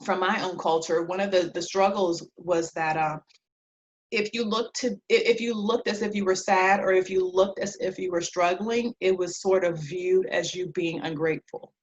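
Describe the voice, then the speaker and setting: Low-voiced woman, reflective and halting. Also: fairly even intonation, lo-fi sound. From Liberia. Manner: reflective, halting, pausing between phrases. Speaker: interview guest, clinical social worker. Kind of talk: conversational interview answers.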